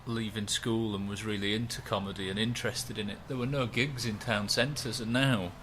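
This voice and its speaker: A man, a stand-up comedian chatting in a relaxed, rambling, self-mocking way. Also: on the low side, moderate pace, a little hiss or hum. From West Yorkshire, England. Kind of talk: relaxed interview chat.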